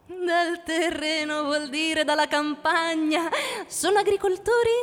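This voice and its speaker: A woman's voice: very high-pitched, fairly even intonation, unhurried. A woman playing a prim, politely baffled small-town housewife of about forty in a radio drama. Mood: puzzled, polite. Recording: reverberant hall with music behind.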